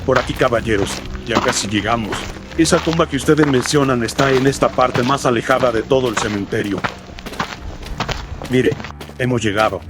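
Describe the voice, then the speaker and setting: A man's voice: medium pitch, normal speed, long pauses, pitched above the performer's own voice, lightly acted. A man voicing a courteous, pious cemetery gravedigger in a horror radio drama, obliging in manner, with prominent music behind him. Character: deferential, superstitious cemetery gravedigger, male, pious.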